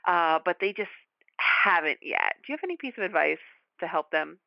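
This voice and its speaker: A woman's voice: lowish voice, animated, normal speed, gravelly, dry recording. Woman hosting a podcast, friendly rambling questions, muffled dry sound. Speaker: podcast host. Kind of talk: interview hosting.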